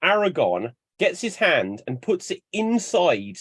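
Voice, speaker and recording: A young man chatting on a panel, telling lively stories and acting out bits as he goes. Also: high voice, unhurried, breathy edge, dry recording. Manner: animated storyteller, acts out bits. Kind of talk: casual chat.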